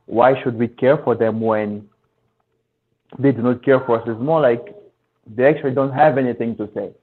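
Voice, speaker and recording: A man from Central Africa explaining his views in a casual live-stream discussion, thoughtful and restarting mid-phrase. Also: medium pitch. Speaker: discussion panelist. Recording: tinny phone-like line.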